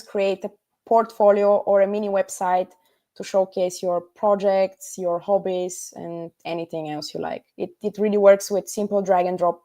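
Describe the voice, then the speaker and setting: A young woman from Bulgaria answering casually as an interview guest, reflective and earnest, with hesitant pauses. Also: mid-range voice, darkish tone. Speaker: interview guest, startup founder.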